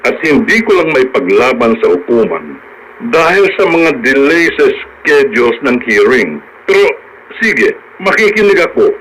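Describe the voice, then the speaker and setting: An actor playing a measured, businesslike attorney in a radio drama, deliberate and questioning, with a Filipino accent. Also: male voice, medium pitch, very animated, a bit bright. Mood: serious, probing. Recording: noisy radio drama broadcast.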